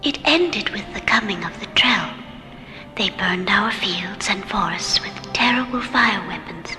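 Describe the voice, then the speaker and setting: An actress playing a serene, mystical alien woman in a science fiction radio drama, speaking solemnly with a breathy, hushed voice. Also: medium pitch, expressive. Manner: solemn, measured. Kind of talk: old-time radio science fiction acting. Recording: long hall reverb, music behind.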